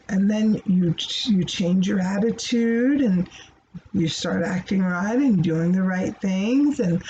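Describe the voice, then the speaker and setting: A woman hosting a children's storytime, talking to young listeners in an animated, teacherly way with rhetorical questions. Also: medium pitch. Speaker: children's read-aloud storytime host. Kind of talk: child-directed talk about a story. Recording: dull, faintly noisy recording.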